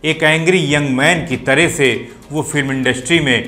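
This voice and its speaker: A man's voice: fairly high pitch, steady tone, normal speed, music in the background. A man hosting a talk on playback singing, explaining with enthusiasm and admiring rhetorical asides.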